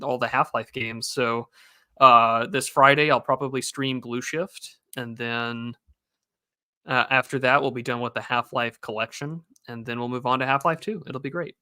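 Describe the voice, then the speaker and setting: A man's voice: mid-range voice, bright, forceful tone, clean tone, no room sound. A young man hosting a podcast in casual chat, hesitant and restarting often. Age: young adult. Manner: hesitant, many fillers and restarts. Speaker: podcast host and streamer.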